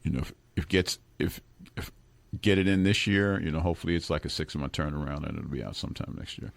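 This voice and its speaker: A man's voice: extremely low pitch, quick pace, creaky, no room sound. A man from Brooklyn speaking as a guest on a radio interview, talking in a rambling way and often restarting his sentences. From Brooklyn, New York. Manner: rambling, self-correcting, chuckles now and then. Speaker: guest musician. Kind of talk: relaxed radio interview talk. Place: radio studio.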